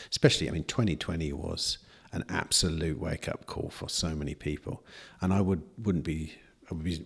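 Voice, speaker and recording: A man's voice: deep voice, creaky, husky. A man hosting a casual podcast interview, asking questions hesitantly with many restarts. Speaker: podcast host.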